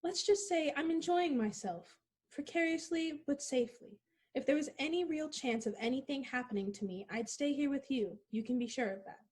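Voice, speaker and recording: A woman playing a headstrong, unafraid young woman, brisk and self-assured, in an old-time radio play. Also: high voice, darkish tone, lightly acted. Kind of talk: 1940s radio play acting.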